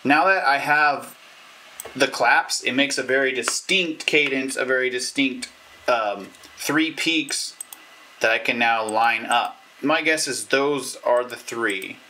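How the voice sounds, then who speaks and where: A man in his thirties presents a casual home tutorial with a bright, forward voice at a medium pitch. He explains step by step in an informal, stop-and-start way. There is faint noise behind him, with small clicks. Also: takes it slowly. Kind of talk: casual explanatory how-to talk. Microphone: clip-on lavalier or camera mic.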